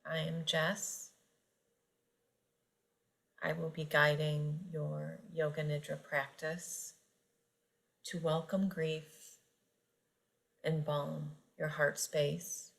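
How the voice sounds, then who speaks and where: A woman leads a guided meditation in a fairly low voice. She speaks very slowly and gently, leaving long pauses between short phrases. Her intonation stays fairly even throughout. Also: gravelly. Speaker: yoga nidra meditation guide.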